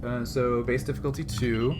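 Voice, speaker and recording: Male game master, tabletop role-play, halting explanations, medium pitch, clearly noisy background. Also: level tone. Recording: noisy recording with room ambience.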